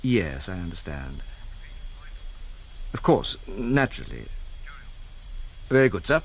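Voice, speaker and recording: An actor playing a clipped, authoritative security official in a radio drama, formal and terse. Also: male voice, sits low, very animated, slow, a little hiss or hum, exaggerated voice, poor audio. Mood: terse, commanding.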